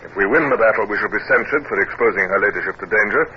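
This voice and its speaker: An actor playing a stern, irritable naval captain in a formal, clipped 1950s radio drama manner. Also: male voice, on the low side, fast talker, lightly acted. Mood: exasperated. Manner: formal, clipped, exasperated, commanding. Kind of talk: 1950s radio drama acting with first-person narration. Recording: muffled, very noisy old 1950s radio recording.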